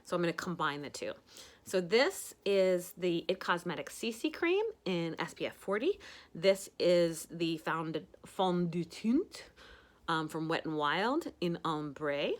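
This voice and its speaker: Low-voiced woman chatting through makeup. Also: expressive, unhurried. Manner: chatty, rambling with many fillers. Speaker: makeup tutorial host. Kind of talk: casual makeup tutorial. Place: home.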